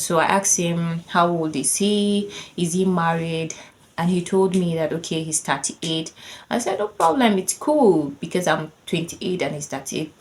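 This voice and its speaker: Chatty Nigerian woman, low voice. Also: slight background noise. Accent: Nigerian English. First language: Yoruba. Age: 30s. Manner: chatty and conversational, lots of "like", laughing while she tells it. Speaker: vlogger telling a personal story. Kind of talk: casual storytime narration.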